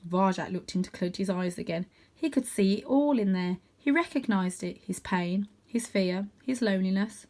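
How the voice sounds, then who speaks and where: A woman reading a children's story aloud in an expressive narrating style. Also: medium pitch, moderate pace. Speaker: storybook reader.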